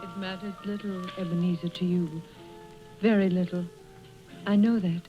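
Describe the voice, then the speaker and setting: An actress playing a young fiancée, sad and gently reproachful, measured in 1930s radio drama style. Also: female voice, fairly low pitch, little pitch movement, close to an everyday voice. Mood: sorrowful, reproachful. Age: young adult. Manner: measured, sorrowful. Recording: dull vintage 1930s radio recording. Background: music.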